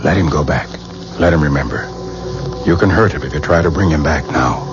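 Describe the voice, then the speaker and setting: An actor playing a scholarly, courteous museum curator with a measured, formal manner in a 1970s radio drama. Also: male voice, a bass voice. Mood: grave, calm. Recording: dull, slightly noisy 1970s radio recording.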